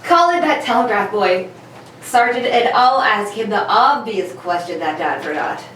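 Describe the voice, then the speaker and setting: A woman's voice: mid-range voice, expressive, normal speed, a bit bright, lightly acted. A woman playing a clever, self-assured male detective in an amateur reading of an old radio mystery. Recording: very echoey room, distant mic, faint noise.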